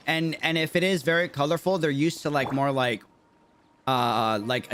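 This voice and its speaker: A young man from Latin America chats on a game livestream in a somewhat high voice. He is casual and slangy, thinking aloud over faint game music. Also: a bit bright. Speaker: game streamer. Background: video game music.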